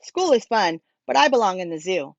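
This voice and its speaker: Woman voicing a polite young seal, lively swooping pitch. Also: moderate pace, dry recording, dull audio. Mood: eager, polite. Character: friendly, curious young male seal, polite and eager. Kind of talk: children's storybook character voice.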